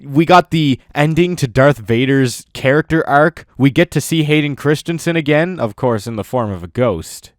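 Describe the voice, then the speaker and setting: A man's voice: fairly high pitch, animated, normal speed, hardly any pauses, no echo. A man hosting a scripted countdown video, commenting in a mock-earnest way with exaggerated praise. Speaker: video host. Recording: music under the voice. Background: music.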